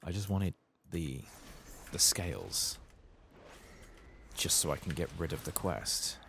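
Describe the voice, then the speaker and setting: Deep, gravelly soft-spoken man. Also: sing-song, slow, a little hiss or hum. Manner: murmuring, musing aloud to himself, hesitant. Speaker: gaming channel host. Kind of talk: soft-spoken ASMR game playthrough commentary.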